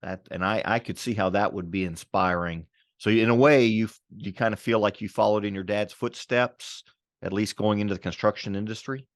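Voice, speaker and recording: A man's voice: lowish voice, fairly even intonation, fairly quick, dry recording, studio quality. A man co-hosting a podcast, enthusiastic and curious, often restarting his sentences. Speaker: podcast co-host. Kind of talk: casual interview chat.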